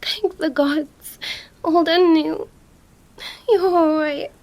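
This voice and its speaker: A woman's voice: very high voice, fairly even intonation, exaggerated voice. A woman playing a young princess, commanding yet tender and distraught, in an emotional ASMR roleplay monologue. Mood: relieved, tender. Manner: hushed, breathy, halting, emotional delivery.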